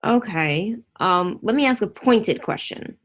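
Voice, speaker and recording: A woman's voice: medium pitch, expressive, unhurried. A woman hosting a casual online interview, chatty and opinionated, rambling with restarts.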